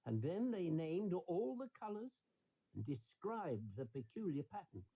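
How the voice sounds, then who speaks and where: A man's voice: a little high, sing-song, measured pace, warm tone, slightly rough, highs cut off. A man narrating a scripted bedtime story for children, as a storyteller.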